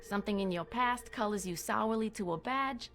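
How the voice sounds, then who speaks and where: An actress playing a wry, no-nonsense American small-town sheriff, plainspoken, folksy and firm, in a video game cutscene. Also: female voice, medium pitch, normal speed, fairly bright tone, soft background music, lightly acted.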